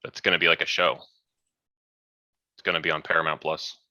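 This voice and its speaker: A man's voice: rumbling low, level tone, brisk, sharp tone, no room sound, clean studio sound. A man co-hosting a casual chat, tossing out sardonic jokes among friends. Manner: sardonic, joking. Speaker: podcast co-host. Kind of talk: casual banter.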